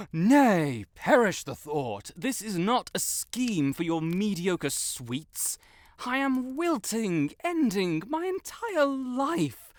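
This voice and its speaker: A man's voice: high-pitched, no room sound, full character voice. A man playing a pompous, melodramatic wizard in an audio roleplay, with flowery, theatrical speech and blurted self-corrections. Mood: melodramatic, aggrieved. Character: haughty, melodramatic male wizard, secretly fond tsundere. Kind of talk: scripted audio roleplay to the listener.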